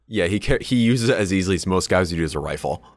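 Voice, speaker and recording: A man's voice: low voice, lively intonation, quick pace, dry recording, clean studio sound. A man co-hosting a podcast, chatting casually about tabletop game lore with wry asides. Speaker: podcast co-host.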